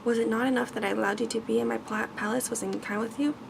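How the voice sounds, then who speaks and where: Girl reading as a stern angry beast from a fairy tale, formal and bossy, creaky voice, noisy recording. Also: fairly high pitch, fairly even intonation, fast. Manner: stern, formal storybook speech. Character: angry, commanding frightful beast, male.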